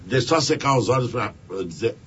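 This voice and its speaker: A man, a singer-songwriter guest on a radio show, talking in a halting, rambling way. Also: medium pitch, animated, a bit bright, highs cut off, a little hiss or hum. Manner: halting, rambling, stumbles over words. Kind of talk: radio interview chat. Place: radio studio.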